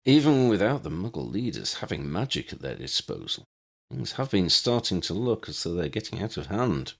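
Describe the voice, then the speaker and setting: A man voicing a thoughtful, lively-pitched wizard. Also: normal speed, creaky, heavily acted. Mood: thoughtful agreement. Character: male wizard listener, thoughtful and cautiously persuaded. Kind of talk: audiobook dialogue.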